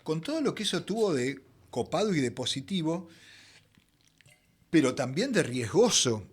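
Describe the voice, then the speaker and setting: A middle-aged Argentine man from Buenos Aires telling anecdotes conversationally as an interview guest, in an expressive, theatrical way. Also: a little high, normal speed, husky. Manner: expressive storyteller, acts out quoted dialogue. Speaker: interview guest, veteran voice actor.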